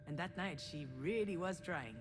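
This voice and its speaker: A woman's voice: lowish voice, normal speed, close to an everyday voice, poor audio. A woman playing a self-deprecating, wistful adult daughter, narrating her family memories in a reflective, conversational first-person voice for a visual novel. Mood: confiding, uneasy. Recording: prominent music under the voice. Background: loud music.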